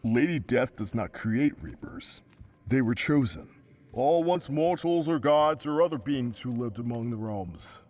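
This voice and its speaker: A grave, courteous sage in an audio drama. The medium-pitched voice uses stately, old-fashioned diction and instructs formally. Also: male voice, lively intonation, a little slow, darkish tone. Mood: grave, instructive. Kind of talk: theatrical audio drama acting.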